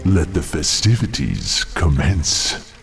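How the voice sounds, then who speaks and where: Casting: arrogant male demon for fantasy game, gravelly, theatrical swings, haughty menace. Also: very deep voice, sing-song, takes it slowly, over loud music, full character voice. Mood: contemptuous. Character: arrogant male demon plotter, contemptuous of mortals.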